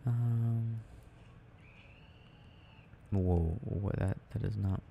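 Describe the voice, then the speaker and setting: A man murmuring haltingly to himself in an ASMR style as he rambles while playing a video game. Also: fairly low pitch, creaky, narrow, tinny audio, slight background noise. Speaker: audio performer playing a boyfriend gaming.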